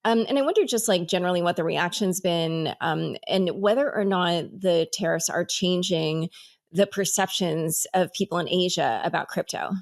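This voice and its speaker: Low gravelly woman hosting an interview. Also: normal speed. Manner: conversational, hesitant with fillers. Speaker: podcast host.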